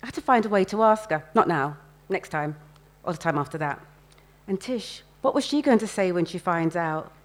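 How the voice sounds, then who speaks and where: A woman giving an expressive first-person reading of a story at a live event. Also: on the low side, normal speed. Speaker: writer reading own fiction.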